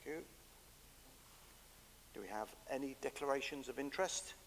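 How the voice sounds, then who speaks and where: A man's voice: mid-range voice, normal speed, slight background noise. An English man with a hesitant, filler-heavy way of speaking, chairing a council committee in a council chamber. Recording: reverberant chamber, table mic.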